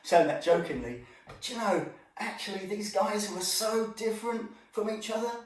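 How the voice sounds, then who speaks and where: A man's voice comes from a little way off in a very echoey room. He tells a Bible story to children as an informal lesson. His delivery is animated, with exclamations and chatty asides aimed at the young listeners. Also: high voice, moderate pace. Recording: echoey room, distant microphone.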